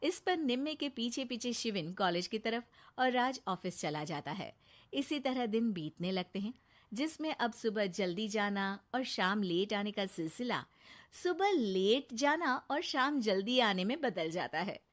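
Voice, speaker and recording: A woman's voice: mid-range voice, big pitch swings, a little slow. A woman narrating a scripted moral story for a cartoon.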